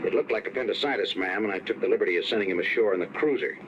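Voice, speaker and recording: An actor playing a dutiful, deferential yacht captain in a 1950s radio drama, formal and respectful. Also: male voice, lowish voice, very fast, lightly acted. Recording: muffled, noisy vintage radio recording.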